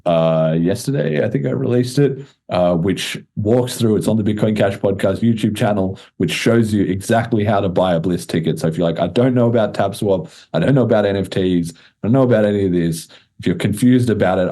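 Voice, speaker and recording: Low, mellow-voiced man hosting a podcast. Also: fairly quick. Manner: informal, slangy. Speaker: podcast host. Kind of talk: casual podcast chat.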